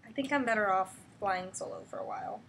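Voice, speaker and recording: A woman's voice: medium pitch, fast, fairly bright tone, natural voice. A woman playing a subdued, reluctant lone superheroine in a hesitant, understated storybook read-aloud. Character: subdued, reluctant lone heroine declining company. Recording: noisy webcam recording.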